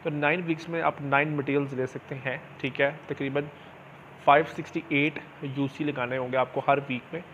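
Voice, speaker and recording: A man's voice: medium pitch, fairly even intonation, normal speed, fairly bright tone. A man gives a casual, explanatory game tutorial, often adding little check-in tags as he goes. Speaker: gaming channel host. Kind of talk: casual screen-recorded game tutorial commentary. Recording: dull lo-fi mic with clear background noise.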